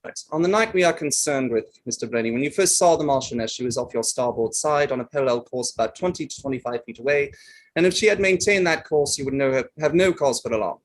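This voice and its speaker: A man reading a courteous barrister in a courtroom play, putting formal, halting questions. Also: medium pitch, close to an everyday voice, poor audio. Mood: patient, formal. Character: courteous male barrister leading his own witness. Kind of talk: table read of a courtroom play.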